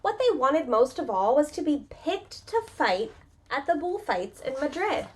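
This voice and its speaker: Female preschool story reader: high register, very lively intonation, expressive delivery aimed straight at little kids. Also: measured pace, a little hiss or hum. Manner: expressive, talks directly to young listeners with questions. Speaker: preschool teacher reading aloud. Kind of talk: animated picture-book read-aloud for young children.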